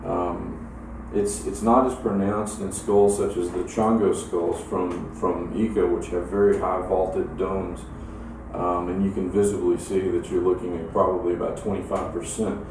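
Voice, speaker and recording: A low-pitched man gives a halting, technical expert explanation. He is recorded at a distance in a very echoey room, with clear noise behind him. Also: flat delivery. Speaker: academic expert giving an opinion.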